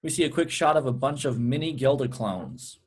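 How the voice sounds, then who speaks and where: A man's voice: medium pitch, expressive, normal speed, husky. A man with a mid-range voice serves as narrator at a script table read. He reads out stage directions as scripted narration.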